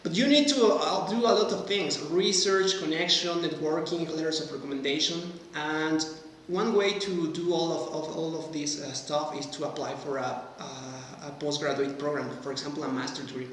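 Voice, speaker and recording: Male guest, twenties, Mexican accent, halting non-native delivery, slightly high voice; long reverberant room with faint noise. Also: fairly bright tone. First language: Spanish. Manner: halting, searching for words in non-native English. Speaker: podcast guest, medical graduate. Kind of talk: casual interview answers. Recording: very echoey hall, faint background noise.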